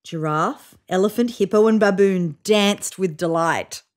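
A woman in her thirties reading a children's picture book aloud on a sofa, with voiced sound effects and hushed whispers. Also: medium pitch, a little slow, a little hiss or hum. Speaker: storybook reader. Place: indoors on a sofa.

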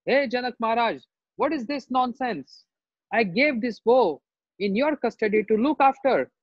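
A man's voice: extremely high pitch, lively intonation, moderate pace, pitched above the performer's own voice. A man with an Indian accent voicing a ferocious, boastful warrior sage in an oral storytelling, threatening and demanding. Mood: furious. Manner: threatening, shouting demands, repetitive. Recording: roomy, dull lo-fi sound.

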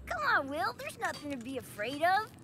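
A woman's voice: high voice, big pitch swings, fairly quick, exaggerated voice. A woman voicing a nervous, gullible, cowardly bug buddy in a children's cartoon. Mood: eager. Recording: loud background music.

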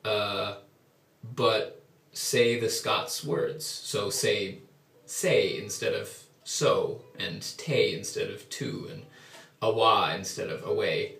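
A man's voice: on the low side, fairly even intonation, takes it slowly, gravelly, fairly bright tone. A young Canadian man talking casually and in a rambling way, then singing a ballad to his ukulele, with a few self-deprecating asides, recorded in a small tiled bathroom by a distant camera. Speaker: folk singer. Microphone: far camera. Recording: echoey tiled room, distant mic. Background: ukulele playing.

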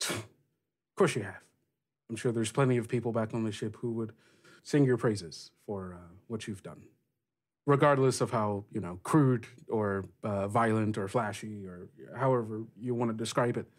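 A man's voice: lowish voice, lightly acted. Man playing a frank, sweary pirate captain woman. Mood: sincere, encouraging. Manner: casual, halting, sweary. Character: frank, rough-edged female pirate captain.

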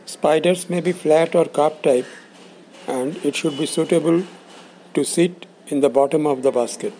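Mellow man narrating a lesson, slightly high-pitched. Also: moderate pace, a little hiss or hum, rough recording. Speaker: instructor presenting components. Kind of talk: scripted instructional narration.

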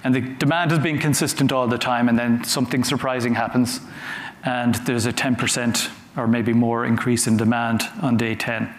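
A man giving a conference talk from a stage, conversational and halting, often repeating words as he goes. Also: medium pitch, fairly even intonation. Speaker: conference speaker. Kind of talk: conference talk with audience questions. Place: large conference hall on a stage. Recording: roomy hall sound with faint noise.

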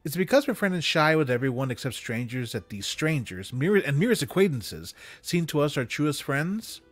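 A man giving an expressive literary reading of a story, with dramatic, deliberate phrasing. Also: a little high, dry recording, faint music behind. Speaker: story reader. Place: small room with bookshelves, indoors. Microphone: close studio microphone on desk.

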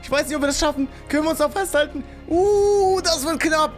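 A man giving excited, exclamatory let's play commentary, talking to viewers and his game character, close on a studio microphone in a small home room. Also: very high voice, a little fast, soft background music. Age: 20s. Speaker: gaming YouTuber. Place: small home streaming room with acoustic foam, indoors. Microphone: studio microphone on boom arm, close.

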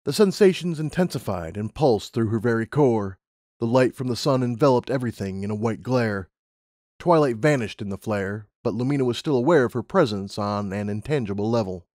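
A man's voice: mid-range voice, animated, a little fast, a little mellow, dry recording, studio quality. A man reading a story as a scripted audiobook narration.

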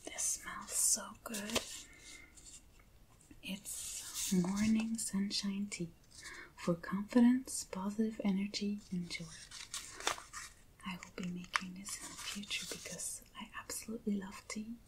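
A young adult woman doing soft-spoken ASMR in a breathy, whispery voice, gentle and unhurried, close to the microphone. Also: medium pitch, fairly even intonation, noisy background. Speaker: ASMR creator unboxing items. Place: indoors at a table with a backdrop.

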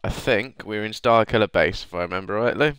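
A man's voice: lowish voice, fast talker, fairly bright tone, smooth, no room sound. A man with a cold, congested and sniffly, chatting casually through a video game and mumbling to himself. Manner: chatty, self-deprecating, mutters to himself. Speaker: gameplay commentator. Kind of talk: casual let's play commentary. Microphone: desk microphone.

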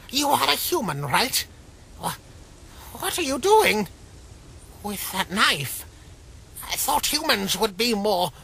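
A man's voice: high-pitched, creaky, husky, heavily acted. A man playing an earnest, kind-hearted skeleton hero in a comic dub, pleading and grandiose. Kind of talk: fan comic dub acting. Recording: loud music over the voice.

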